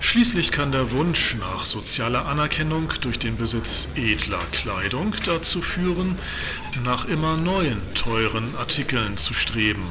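An actor playing a polite department store announcer in an audio drama, reading formally with deadpan seriousness. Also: male voice, mid-range voice, measured pace, crowd noise, lightly acted. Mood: deadpan courtesy. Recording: clear background noise and ambience.

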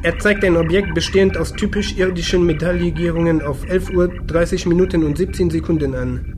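A man playing a spaceship pilot in a sci-fi radio drama, with a fairly high voice for a man. He reports in exact, technical terms and stays factual. Clear ambient noise surrounds him. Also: steady tone, a little fast, lightly acted. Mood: focused, alert. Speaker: voice actor in a sci-fi radio play.

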